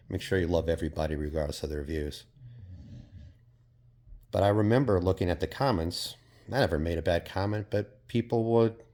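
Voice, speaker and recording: A man's voice: low-pitched, a little mellow. A man from Portugal talking in a casual, reflective vlog monologue, rambling and hesitant, with many fillers and casual swearing. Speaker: vlogger.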